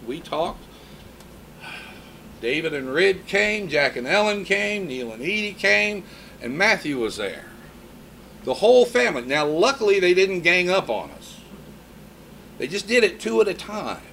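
A man's voice: a little high, big pitch swings, unhurried, gravelly, sharp tone, slight background noise. A man in his fifties preaching a sermon in a conversational way, mixing scripture with personal stories. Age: 50s.

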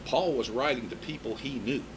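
A man's voice: mid-range voice, moderate pace, noisy background. A man in his fifties preaching a sermon in a conversational way, mixing scripture with personal stories. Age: 50s.

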